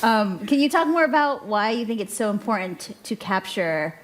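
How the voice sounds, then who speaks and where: A woman moderates a live panel, asking friendly, curious questions. Her voice sits at a middle pitch for a woman. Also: sing-song, moderate pace.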